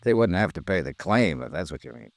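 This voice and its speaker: An actor playing a brisk, skeptical insurance investigator in a 1950s radio drama, clipped and wry in his delivery. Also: sits low, big pitch swings, no room sound. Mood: firm, testy. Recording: vintage 1950s radio recording.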